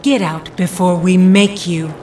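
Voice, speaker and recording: A woman's voice: fairly low pitch, fairly even intonation, a little slow, darkish tone, soft background music, natural voice. Cold, imperious villainess, acted by a woman. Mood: menacing. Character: commanding, cold female shapeshifting mutant villain. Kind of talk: audio drama acting.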